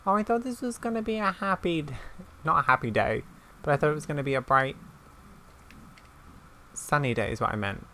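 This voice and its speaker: A woman's voice: low-pitched, a little fast, long pauses, bright, forceful tone. A woman doing chatty let's-play commentary, exclaiming and talking to the characters on screen. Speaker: gaming video creator. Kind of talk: let's-play commentary, reading game dialogue aloud. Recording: clear background noise with game ambience.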